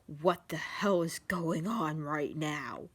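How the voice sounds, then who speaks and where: A woman puts on a brooding male cartoon hedgehog in a story. The voice drops lower and drags slowly, gruff and grim. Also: fairly bright tone, heavily acted.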